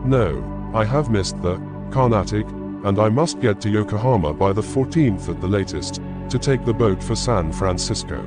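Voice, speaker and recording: An actor playing a calm, determined Victorian gentleman traveller in an audiobook, speaking placidly and concisely. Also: on the low side, moderate pace, lightly acted. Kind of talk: dramatized audiobook character acting. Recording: clear music bed behind voice.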